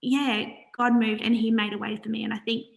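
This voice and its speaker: Guest: earnest woman charity founder, quiet gravelly voice, fairly high. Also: level tone, slight background noise. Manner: soft-spoken, earnest, halting with fillers. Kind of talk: casual interview talk.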